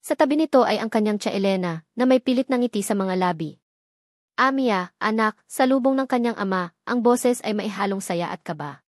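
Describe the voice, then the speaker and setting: A woman narrating a romance story in a steady, dramatic storytelling manner. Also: mid-range voice, a little fast, no room sound, clean studio sound.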